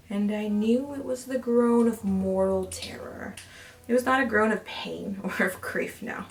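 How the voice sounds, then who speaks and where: A woman voicing a nervous, secretly gleeful mad narrator who insists on being sane, read as dramatic horror storytelling with intense emphasis and breathy drops. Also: on the high side. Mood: hushed, suspenseful. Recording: distant mic with background noise.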